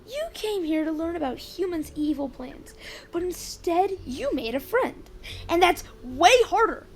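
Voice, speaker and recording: A woman playing an outgoing, warm-hearted preteen boy with casual kid talk in a children's audio drama. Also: extremely high pitch, animated, measured pace, slight background noise, exaggerated voice. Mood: encouraging.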